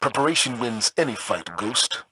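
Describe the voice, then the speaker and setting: A man plays a stern, solemn military commander from a video game. The pitch is mid-range and moves expressively, with noticeable noise behind the voice. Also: animated, moderate pace, close to an everyday voice. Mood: grave, commanding. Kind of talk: scripted video game voice acting.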